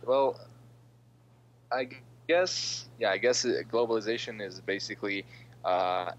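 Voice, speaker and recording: A man in his early twenties from Russia who speaks with an American accent, answering interview questions casually and hesitantly, thinking as he goes. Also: fairly low pitch. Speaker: interviewee, political science master's student. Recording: dull lo-fi sound with faint hum.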